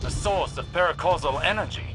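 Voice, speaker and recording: An actor playing a stern, impatient veteran mentor in a video game, formal, insistent and commanding. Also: male voice, a little high, brisk, over music, close to an everyday voice, poor audio. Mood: urgent, commanding. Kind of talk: dramatic video game acting.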